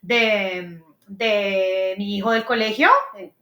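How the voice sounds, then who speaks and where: A woman's voice: mid-range voice, steady tone, slow, a bit bright, roomy sound. A woman hosting a casual podcast chat, talking freely with many restarts and searching for words. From Colombia. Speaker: podcast host. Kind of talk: casual conversation.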